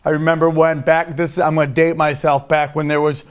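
A man's voice: fairly high pitch, level tone, brisk. A middle-aged man talking casually as an interview guest, a comedian who tells things in an emphatic way, repeating himself for effect.

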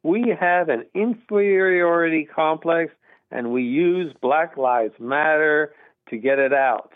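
A man's voice: on the high side, takes it slowly, no room sound. A middle-aged man from Texas, calling in to a radio talk show and speaking in an earnest, emphatic, rambling way. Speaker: caller. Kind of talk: call-in radio conversation. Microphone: telephone. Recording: tinny phone line, dry.

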